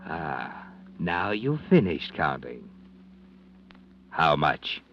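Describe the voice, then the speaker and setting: An actor playing a sinister, mocking, all-knowing narrator in a 1940s radio mystery. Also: male voice, extremely low pitch, big pitch swings, extremely slow, slight background noise, heavily acted. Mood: sinister, taunting. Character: ominous all-knowing male narrator taunting the greedy. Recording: dull vintage radio recording with music.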